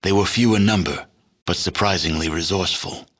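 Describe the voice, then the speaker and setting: An actor playing a grave, world-weary storyteller narrating a post-apocalyptic video game in a measured, solemn, deadpan way. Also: male voice, low voice.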